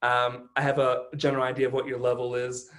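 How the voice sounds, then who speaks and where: A man's voice: mid-range voice, fast. Chatty man hosting an interview. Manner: animated storyteller, many "like" fillers. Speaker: podcast host interviewer. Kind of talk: casual interview chat.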